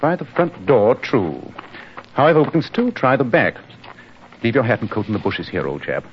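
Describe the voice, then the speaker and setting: An actor playing a brisk, self-assured British gentleman detective, clipped and authoritative, in 1940s radio style. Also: mid-range voice, very animated, slight background noise, lightly acted. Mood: wry, confident. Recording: dull 1940s radio recording.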